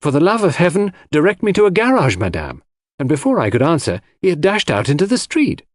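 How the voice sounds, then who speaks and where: A man playing a composed, puzzled gentlewoman in an audiobook mystery. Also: fairly high pitch, huge pitch swings, a little fast, dry recording, clean studio sound, voice pushed up. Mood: agitated, puzzled.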